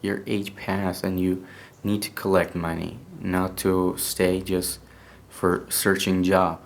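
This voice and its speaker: A young man from Iran with an Iranian accent, speaking English as a second language with a native Persian background, telling a personal story in a casual, halting way full of restarts. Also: low-pitched, monotone, gravelly. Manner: halting, hesitant English with many restarts and fillers. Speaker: podcast speaker.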